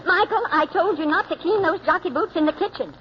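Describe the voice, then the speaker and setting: A woman's voice: very high voice, a little fast. An actress playing an anxious, scolding middle-aged mother in a 1940s radio drama, fretful and sarcastic. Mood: exasperated. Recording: dull, noisy vintage radio recording.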